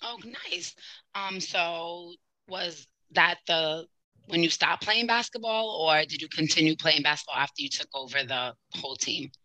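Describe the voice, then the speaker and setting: A woman's voice: low voice, expressive, a little slow, pushed and bright, low-quality recording. A woman hosting a sports podcast, introducing the show and interviewing a guest in an informal, upbeat way.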